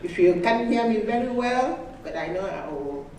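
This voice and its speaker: A woman from Nigeria with a Nigerian accent, a registered nurse giving a friendly personal pitch about her business. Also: animated, normal speed, mellow, slight background noise. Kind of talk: personal pitch to a live audience. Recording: echoey hall, distant mic.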